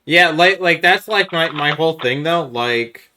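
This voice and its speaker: A man's voice: on the high side, a little slow, pushed and bright. A man co-hosting a podcast in casual chat, making his points in an emphatic, explanatory way. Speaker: podcast co-host.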